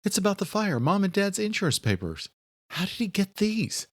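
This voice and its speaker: A man's voice: sing-song, normal speed, dry recording, studio quality. A man voicing a distraught, determined younger sister in an audiobook, pleading and insistent. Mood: anxious. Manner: pleading, insistent, higher-voiced. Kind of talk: audiobook character acting.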